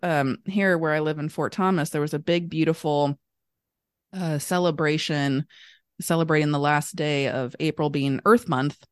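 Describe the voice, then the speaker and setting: A woman from Kentucky hosts a podcast in a chatty, easy way, laughing often. Her voice sits low and sounds a little scratchy from allergies. The recording is close and dry. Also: fairly even intonation, moderate pace, smooth, no echo. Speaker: podcast host. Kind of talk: casual interview chat.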